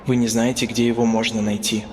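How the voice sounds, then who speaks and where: A man's voice: on the low side, little pitch movement, quick pace, lightly acted. A man playing a courteous, inquiring traveller in a horror audio drama. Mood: polite. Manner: polite, inquiring. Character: courteous man seeking a mysterious magician. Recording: long hall reverb.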